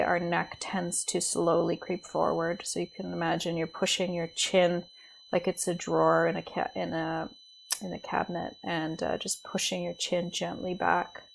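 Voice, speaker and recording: A woman leading a guided body scan meditation as a teacher, speaking in a gentle, soothing, unhurried way with pauses. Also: fairly low pitch, vocal fry, a little hiss or hum, low-quality recording.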